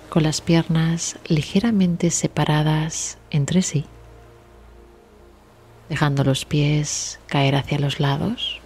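Slow, hushed woman guiding meditation. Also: low-pitched, a little hiss or hum. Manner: soothing, hushed, gentle delivery with long pauses. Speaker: guided meditation instructor. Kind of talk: guided relaxation meditation. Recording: steady ambient sea sound behind voice. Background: sea waves ambience.

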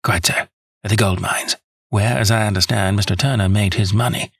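A man reading an audiobook, voicing a calm, incisive detective who speaks in a measured, precise way. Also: on the low side, slightly rough, dry recording, clean studio sound. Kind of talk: audiobook character voice.

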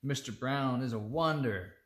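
A woman reading a children's picture book aloud, playful and expressive, acting out the sound words. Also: rumbling low, measured pace. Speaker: storytime reader. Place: indoors, seated close among children. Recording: roomy, echoey home recording.

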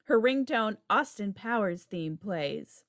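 A woman reads out script directions for a table read. Her voice sits at a middle pitch, recorded on a video call. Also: animated, slow pace, a bit bright. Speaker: stage directions reader. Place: indoor room, video call. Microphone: video call microphone.

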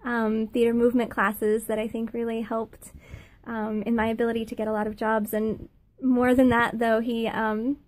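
A woman in her thirties with a high-pitched voice, recording a personal video message, earnest and halting with many pauses. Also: flat delivery, darkish tone, no room sound. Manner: earnest, halting, many fillers, laughs between phrases. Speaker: former student giving a thank-you testimonial.

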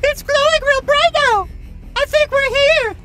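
A naive young fish prince, played by a woman, chirps in a very high, squeaky voice. He earnestly refers to himself in the third person, sounding sweet and childlike, with music playing behind. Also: unhurried, fairly bright tone. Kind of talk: fan-made animated short film.

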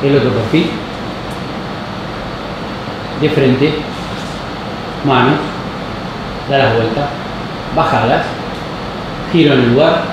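Brief: casting director barking short orders, slow and clipped, in a roomy studio. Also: medium pitch. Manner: curt one-word commands. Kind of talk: giving casting commands. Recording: echoey studio, background hum.

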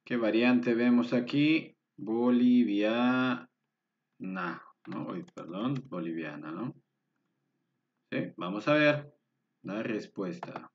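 Man, teacher, slow, self-correcting, dull lo-fi sound. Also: medium pitch, lively intonation. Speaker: Spanish teacher. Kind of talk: informal lesson commentary over videos. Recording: dull lo-fi voice with faint music.

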